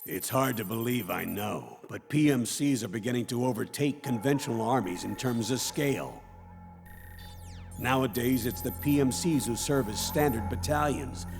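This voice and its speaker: A man playing an earnest, brainy tech ally in a video game cutscene, explaining gravely in measured, expository sentences. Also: mid-range voice, close to an everyday voice. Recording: music behind the voice. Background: music.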